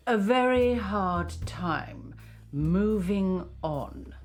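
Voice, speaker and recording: A woman's voice: fairly low pitch, full character voice. A woman playing a bitter, scornful middle-aged aunt with a British accent, acerbic and dramatic, in an interactive mystery film. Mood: grave, confiding. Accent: British English. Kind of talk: naturalistic screen acting in an interactive mystery film.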